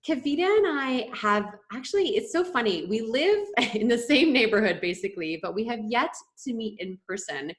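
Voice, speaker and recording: A woman's voice: a little high, expressive, moderate pace, hardly any pauses. A woman hosting a casual interview podcast, upbeat and enthusiastic, laughing easily as she talks. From Canada. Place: home in a small coastal community.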